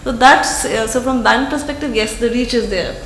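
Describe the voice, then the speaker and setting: A woman's voice: on the high side, normal speed, roomy sound, slight background noise, low-quality recording. A woman, speaking for a retail brand on a panel in a structured, businesslike way. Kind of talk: panel discussion.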